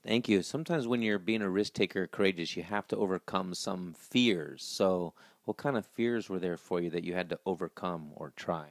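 Upbeat male school host, low gravelly voice, dry close sound, handheld mic in a small office. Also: moderate pace, few pauses, dry recording. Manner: upbeat, presenting. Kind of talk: school announcement interview.

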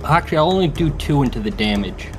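A man's voice: on the high side, animated, quick pace, faint music behind. A man playing a tabletop game, casually talking through his rolls and actions. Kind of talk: casual game chat, working out dice rolls.

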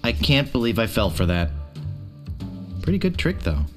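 An actor playing an earnest, naive young would-be pirate hero in a video game, eager and a little wry. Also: male voice, mid-range voice, sing-song, moderate pace, over music, lightly acted. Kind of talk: video game character acting.

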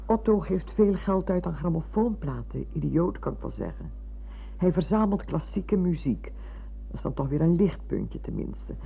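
An older actress playing a chatty, fussy, sentimental retired schoolmistress who rambles and interrupts herself in a radio play. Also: female voice, fairly low pitch, big pitch swings, normal speed, gravelly, breathy edge, heavily acted. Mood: chatty, confiding. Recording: muffled phone-like old radio recording.

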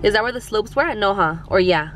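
A woman's voice: mid-range voice, animated, fairly quick, a bit bright, faint music behind. Young woman vlogger, casual on-the-go chatter to viewers, handheld camera. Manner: chatty, filler-heavy, talks to viewers and kids. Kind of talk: casual vlog narration. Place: in a car, then outdoors in a village.

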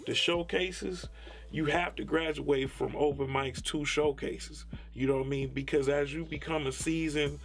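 A middle-aged man with a notably high voice gives a candid interview. He speaks emphatically with casual swearing, and faint music plays behind him. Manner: emphatic, profane, tags phrases with "you know what I'm saying". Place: small foam-padded recording studio.

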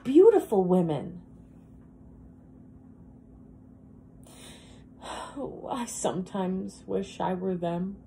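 A woman performing a dramatic monologue as a wistful, self-deprecating woman who longs to be beautiful, speaking in a halting, confessional, emphatic way. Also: mid-range voice, animated, vocal fry, mellow, slight background noise. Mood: wistful, pleading.

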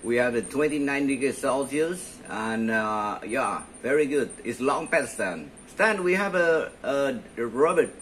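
A man's voice: medium pitch, lively intonation, unhurried, few pauses, fairly bright tone. A man with an Indonesian accent working as a ham radio operator, chatting and repeating his phrases. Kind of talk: ham radio contact chat. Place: indoors at a radio set. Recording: faint hiss beside radio set.

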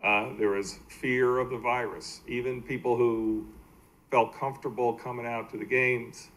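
An American man giving a slide presentation at a public meeting as a team executive, halting and plain-spoken. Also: mid-range voice, level tone, fairly bright tone, poor audio. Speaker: baseball team executive presenting to a city council. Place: indoor council meeting room.

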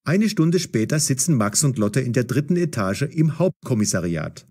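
A man's voice: medium pitch, sing-song, normal speed, darkish tone, breathy edge. A man narrating a scripted story as the narrator of an audio drama.

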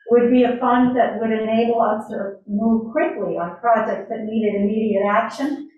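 A woman's voice: fairly high pitch, moderate pace, narrow, tinny audio. Woman speaking at a town meeting. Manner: earnest, reads prepared remarks with some hesitations. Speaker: public commenter. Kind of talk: public comment at a town meeting. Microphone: standing at a floor mic in a large room. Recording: echoey room, voice from far off.

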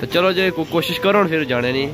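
A young vlogger strolls an outdoor bazaar and chats with shopkeepers. His voice sits fairly high, and loud music plays under him. The sound comes from a handheld camera at arm's length. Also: brisk, low-quality recording. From Azad Kashmir, Pakistan. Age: young adult. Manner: chatty, greets shopkeepers warmly with questions. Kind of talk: casual street vlog interviews.

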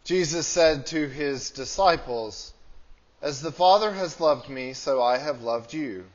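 Slow, solemn male priest. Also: on the high side, highs cut off. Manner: solemn, measured, deliberate phrasing. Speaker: priest leading a church service. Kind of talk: liturgical prayer and scripture reading. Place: small church with hard walls.

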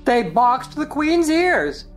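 A woman's voice: high voice, big pitch swings, slow, faint music behind, heavily acted. A woman playing a nervous, secretive, gossiping attendant in an audiobook play. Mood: anxious, gossiping.

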